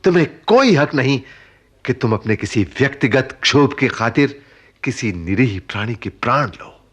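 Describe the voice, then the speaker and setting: A stern, morally earnest uncle in a radio play, middle-aged and husky. His delivery is deliberate and emphatic, and his pitch moves with real liveliness. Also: animated, highs cut off. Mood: stern, reproving. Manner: emphatic, deliberate, preaching with repeated phrases. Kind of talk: broadcast radio drama acting.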